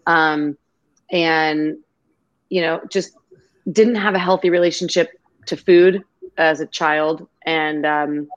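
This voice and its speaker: A woman's voice: low-pitched, steady tone, slow, long pauses, dull audio. A woman guest on a video-call interview, talking in an effusive, grateful and rambling way. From half Greek, half Irish family. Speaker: podcast guest.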